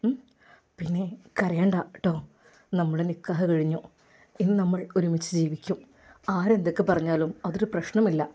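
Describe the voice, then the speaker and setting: A tender young doctor speaks to his bride in a lowered, soothing voice, gentle and reassuring. A woman puts him on for a spoken story. His tone stays soft and unhurried. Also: steady tone, slightly rough. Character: gentle young male doctor, loving husband.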